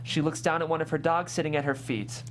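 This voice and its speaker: A woman hosting and narrating, speaking in a clear presenter's way as she reads or introduces. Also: sits low, fairly even intonation, fast talker, slight background noise. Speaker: host and stage-directions narrator. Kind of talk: scripted narration and interview hosting.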